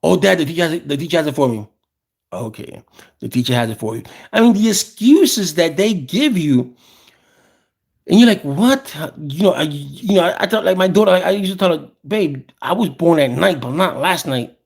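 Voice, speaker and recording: A man of about fifty with a gravelly voice, talking casually and with opinions, often repeating phrases for emphasis. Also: fairly high pitch, lively intonation, moderate pace. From South Bronx, New York, USA. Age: around 50. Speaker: host.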